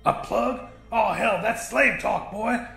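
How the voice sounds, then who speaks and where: A man's voice: sits high, slow pace, gravelly, pushed and bright, roomy sound, soft background music, pitched above the performer's own voice, exaggerated voice. A man voicing a big, angry, foul-mouthed cook with a scornful, slangy drawl in an audiobook story. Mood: exasperated, loud.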